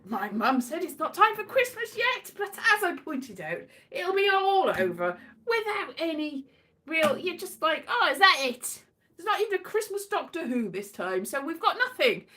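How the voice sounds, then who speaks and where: A woman's voice: very high-pitched, very animated, moderate pace, sharp tone. A British woman hosting a casual livestream, rambling in a whimsical, theatrical way. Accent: British English. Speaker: livestream host, voice actor. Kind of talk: rambling casual livestream chat with bits of singing.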